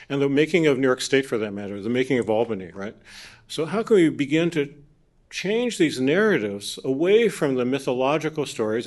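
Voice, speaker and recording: A man giving an academic keynote talk and introducing the panel, speaking in a discursive way full of restarts and asides. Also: medium pitch. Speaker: panel moderator. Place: conference hall.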